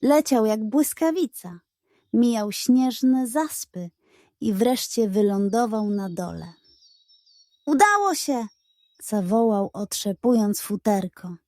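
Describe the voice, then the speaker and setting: Slow, soft-toned woman storyteller. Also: medium pitch, no room sound, studio quality. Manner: expressive, carefully enunciated storytelling. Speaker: narrator. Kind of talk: scripted children's bedtime story narration.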